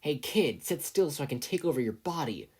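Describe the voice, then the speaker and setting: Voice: mid-range voice, big pitch swings, a little fast, darkish tone, husky, pitched above the performer's own voice. A reader voicing a menacing, scratchy-voiced sludge monster villain for a story.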